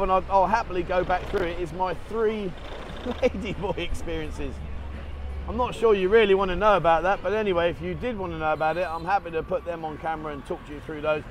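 A man chatting casually, rambling and swearing now and then as he rides a motorbike through town with a handheld camera. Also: high-pitched. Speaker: vlogger. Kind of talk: casual running commentary. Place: outdoors on a motorbike in town traffic, rain. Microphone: action camera held in hand. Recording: street and traffic noise behind.

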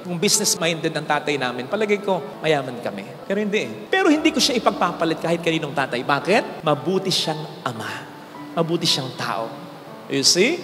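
Filipino priest, handheld mic, high voice, church reverb. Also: male voice. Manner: animated preaching, switching between Tagalog and English. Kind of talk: homily. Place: large church sanctuary, indoors. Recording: hall reverb with faint music.

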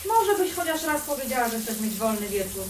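Radio play role for a woman: outspoken, bossy grandmother in middle age, slightly high and animated, blunt colloquial delivery. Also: fairly quick, noisy background. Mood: bossy, impatient. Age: middle-aged. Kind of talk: audio play dialogue.

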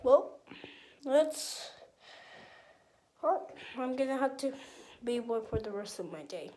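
Woman voicing a high-pitched, exclamatory shark puppet. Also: expressive, mellow. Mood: resigned, muttering. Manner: halting, exclamatory, talking to itself. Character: playful, easily exasperated toy shark puppet taking a shower. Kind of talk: improvised puppet play. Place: small home bathroom. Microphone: handheld phone or camera.

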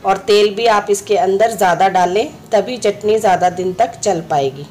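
Slightly hoarse woman, mid-pitched, home cooking host, patient step-by-step delivery, faint music under. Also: normal speed, a little mellow. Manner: instructive, friendly, repeats steps. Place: home kitchen.

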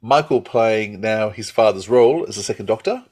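A middle-aged man, slightly husky, chatting casually and haltingly as a podcast co-host on a video call from home. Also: fairly low pitch, a little mellow. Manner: halting, thinking aloud. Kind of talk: casual fan chat. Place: small room at home, indoors.

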